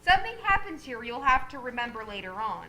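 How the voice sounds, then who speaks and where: An actress playing a calm, authoritative female superintendent of angels in an old-time radio play. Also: on the high side, exaggerated voice. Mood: brisk. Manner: brisk, authoritative, kindly.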